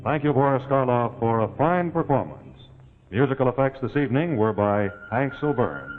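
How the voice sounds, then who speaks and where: A man's voice: medium pitch, moderate pace, soft background music. Tinny 1940s male broadcast announcer. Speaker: closing announcer.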